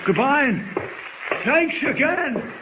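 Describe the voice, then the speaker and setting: A man's voice: very high-pitched, animated, slow pace, heavily acted. A man playing an earnest, grateful rescued fellow in a 1940s radio serial. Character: grateful rescued male bystander, talking to his rescuer. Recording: very muffled, noisy old radio recording.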